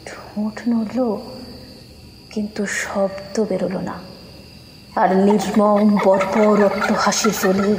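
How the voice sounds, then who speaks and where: A woman narrating a horror audio story in an expressive, suspenseful way, sometimes dropping to a whisper. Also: mid-range voice, takes it slowly, long pauses, slight background noise. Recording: echoey reverberant studio mix with music.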